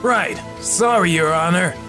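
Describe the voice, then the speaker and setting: A man voices a bumbling, good-natured police detective who talks in a slightly high, gruff and casual way on the witness stand. Faint music plays behind him. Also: a little slow. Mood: eager. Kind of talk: fan audio drama acting.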